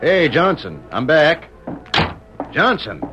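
Blustering, short-tempered western sheriff. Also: male voice, a little high, wildly swooping pitch, slow, over music, full character voice. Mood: exasperated, scolding. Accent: American Western. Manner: gruff, scolding, folksy frontier insults. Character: blustering, short-tempered Old West sheriff, scornful of his deputy. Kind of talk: old-time radio western acting. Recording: noisy, dull old radio recording.

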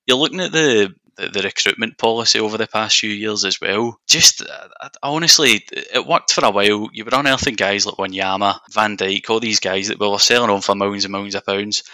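A man's voice: lowish voice, brisk, few pauses, sharp tone, no room sound. A young man with a Scottish accent, speaking as a podcast guest and football fan in casual chat. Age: young adult.

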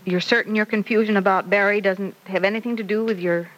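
An actress playing a patient, gently probing middle-aged mother in an old-time radio serial, speaking in a measured, coaxing manner. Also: mid-range voice, fairly even intonation, lightly acted, rough recording. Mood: reflective, tender. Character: gentle, probing mother advising her grown daughter.

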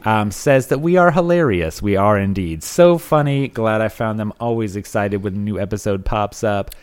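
A man's voice: fairly low pitch, normal speed, clear, resonant tone. A young man from Alabama co-hosting a casual comedy podcast, bantering and reading listener reviews aloud. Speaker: podcast co-host.

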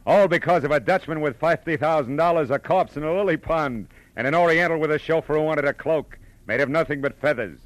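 An actor playing a tough but compassionate private detective, terse and weary, in 1940s radio noir. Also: male voice, a little high, a little fast, few pauses, lightly acted. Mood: wry narration. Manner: terse, weary, hesitant when gentle.

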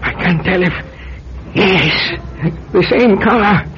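An actor playing a paranoid, secretive old man who mutters haltingly to himself in an old-time radio drama. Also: sits high, background noise. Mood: panicked. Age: older. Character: old frightened, paranoid, secretive male recluse. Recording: dull lo-fi radio drama recording.

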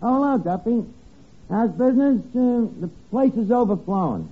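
A man's voice: extremely high pitch, expressive, normal speed, slightly rough, narrow, tinny audio, exaggerated voice. A man playing a cocky, uneducated tavern manager who wisecracks dryly and slangily in 1950s radio comedy style. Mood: wry, cocky. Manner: slangy, dry wisecracking with hesitations. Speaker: comedy star. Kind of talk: 1950s radio sitcom acting. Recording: noisy old radio broadcast, studio audience. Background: live audience.

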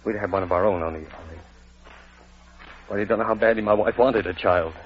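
A man acting an earnest young husband and father in a vintage radio drama, speaking haltingly and with emotion. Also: lowish voice, natural voice. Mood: halting, subdued. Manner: halting, emotional, repeating words. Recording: muffled lo-fi old radio recording.

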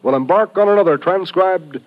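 A man's voice: sits high, normal speed, heavy background noise. A man announcing in scripted 1950s network radio style, formal and emphatic. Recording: dull old radio broadcast recording.

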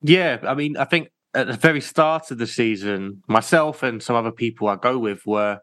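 A man's voice: medium pitch, moderate pace, fairly bright tone, dry recording. A man co-hosting a football podcast, thinking aloud in a hesitant way with many fillers. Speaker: podcast co-host. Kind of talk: casual football chat.

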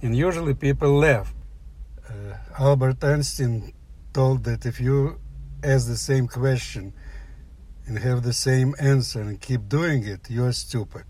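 An older man from the former Soviet Union, speaking English with a Russian accent, telling a personal story in halting, plain phrases. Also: medium pitch, dark tone, breathy edge. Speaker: guest storyteller. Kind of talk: personal story told aloud.

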